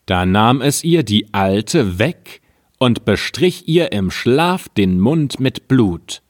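A man reading an old fairy tale aloud in a calm, measured storytelling manner. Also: mid-range voice, no room sound, studio quality.

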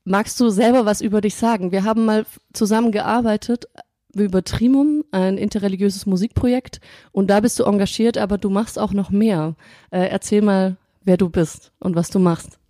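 A woman hosting a podcast on sermon ideas, chatting in a friendly way and reading a scripture passage aloud in a measured voice. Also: medium pitch, fairly even intonation, mellow, no room sound, studio quality. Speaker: podcast host. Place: studio or quiet room, sitting across from the guest.

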